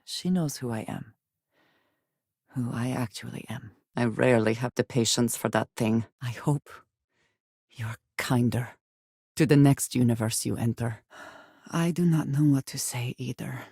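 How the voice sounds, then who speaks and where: An actress playing a guarded, earnest woman space adventurer in a video game, speaking in measured, formal phrases with few contractions and a dry wit. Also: a bass voice, dark tone, husky, dry recording, heavily acted. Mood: earnest, troubled. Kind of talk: video game character acting.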